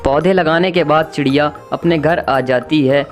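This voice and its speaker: A man's voice: a little high, normal speed, soft background music. A man narrating a scripted children's story.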